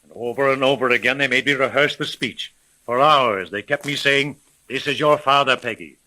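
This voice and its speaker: A man's voice: mid-range voice, normal speed, sharp tone, rough recording. An actor playing an indignant, flustered older businessman and father, protesting emphatically in a 1930s radio drama.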